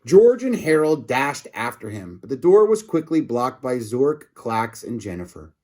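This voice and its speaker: A man reading a story aloud to children in an expressive, dramatic way, adding casual asides. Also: mid-range voice, unhurried. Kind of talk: animated read-aloud narration with asides.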